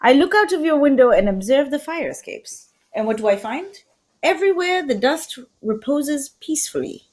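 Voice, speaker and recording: A fussy Belgian detective in an old-time radio mystery played by a woman, shrewd and courtly. The voice sits high and moves expressively up and down. Questions and deductions come out formal, deliberate and theatrical. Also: lively intonation, a little mellow. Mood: precise, courteous.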